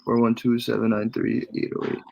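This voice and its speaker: A man's voice: mid-range voice, monotone, fairly quick, gravelly, mellow, slight background noise. A man who is a real estate investor chatting casually on a call, enthusiastic and swearing freely.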